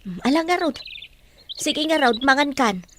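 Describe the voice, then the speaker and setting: A woman's voice: sits high, animated, normal speed, a bit bright, slight background noise. A woman with an Ilocano accent playing a deferential but inquisitive housemaid in a radio drama. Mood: chiding, caring.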